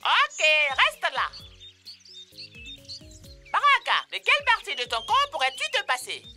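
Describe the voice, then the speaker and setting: A woman voicing a motherly bird teacher in an educational children's cartoon, giving playful, animated instructions. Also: sits high, unhurried, soft background music, heavily acted. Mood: instructing, playful.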